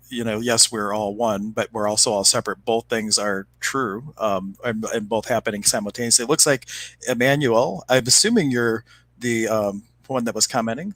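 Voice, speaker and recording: A man's voice: mid-range voice, fairly bright tone, low-quality recording. A man co-hosting a live video conversation, friendly and loose, talking about shamanic practice. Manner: friendly, loose, laughing easily. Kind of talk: casual live-stream chat.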